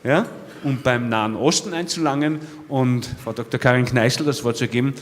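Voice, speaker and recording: A man's voice: mid-range voice, moderate pace, slight background noise. A man moderating a conference, introducing the next speaker informally and often saying "ja". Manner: informal, repeats "ja". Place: lecture hall. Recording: reverberant lecture hall.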